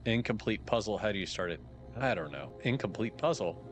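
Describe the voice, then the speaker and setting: Slightly hoarse, chatty male streamer. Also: lowish voice, expressive, fairly quick. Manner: chatty, thinking aloud, wry asides. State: a little hoarse. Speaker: game streamer. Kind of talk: casual live-stream commentary. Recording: background music under voice.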